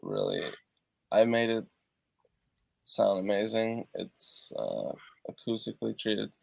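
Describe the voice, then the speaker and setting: A man from Europe with a low, gravelly voice talks about his music on a video call. He speaks haltingly, circling back and filling gaps with "uh" and "like". The sound is dry and close, from his treated home studio. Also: sing-song, a little mellow, no echo. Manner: halting, many fillers and restarts. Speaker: guest musician. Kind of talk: casual interview over video call.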